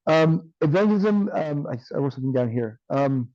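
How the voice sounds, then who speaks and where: A man teaching an online class with a tired, strained voice, often checking in with "right?" and filling pauses with um. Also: on the high side, expressive, a little mellow, no echo, dull audio. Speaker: instructor.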